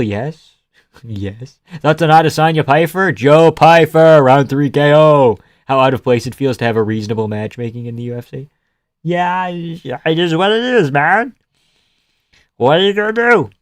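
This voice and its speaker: A man's voice: a little high, dry recording, clean studio sound. A young man hosting a live stream, chatting casually and sarcastically while reading viewer comments. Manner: sarcastic, offhand, swears and laughs often.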